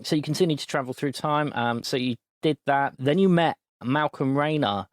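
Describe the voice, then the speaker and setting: A man recapping a tabletop game session as game master, relaxed and full of ums. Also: on the high side, moderate pace, dry recording, clean studio sound.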